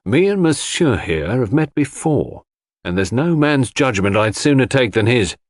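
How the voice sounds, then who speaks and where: A man's voice: mid-range voice, very animated, unhurried, no echo, voice pushed up. Man playing a jovial, cocky police inspector. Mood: jocular. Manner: breezy, colloquial, jocular.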